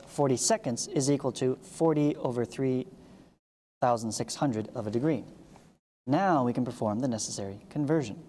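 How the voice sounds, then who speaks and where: In a reverberant space, a woman with a low, soft-edged voice reads instructional material aloud. She teaches methodically, step by step, adding brief asides to the listener. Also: a little hiss or hum. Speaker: math teacher, video host. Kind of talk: read-along lesson, reading textbook aloud with asides.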